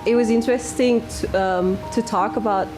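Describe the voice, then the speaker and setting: A woman's voice: a little high, fairly even intonation, moderate pace, over music, rough recording. A woman in her late twenties from the Dominican Republic, raised in Belgium, chatting as a talk show guest, rambling with many fillers and laughing often. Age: late 20s. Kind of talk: casual talk show chat.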